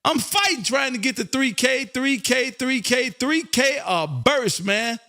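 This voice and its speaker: A man from Texas hosting a ham radio live stream with a loud, showy, repetitive on-air patter. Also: very high voice, sing-song, measured pace, soft background music. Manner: loud, showy, repetitive, shouts and half-sings call signs. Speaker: amateur radio operator and live stream host. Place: radio room.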